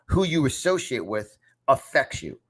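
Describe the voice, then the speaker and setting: A punchy motivational coach in his fifties, talking in a New York accent in a middle-pitched voice. He hammers his points home, repeating lines for emphasis. The sound is from a small home studio. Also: sing-song, unhurried, a bit bright. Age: 50s. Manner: emphatic, repeats phrases for effect. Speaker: success coach. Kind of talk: motivational lesson to camera.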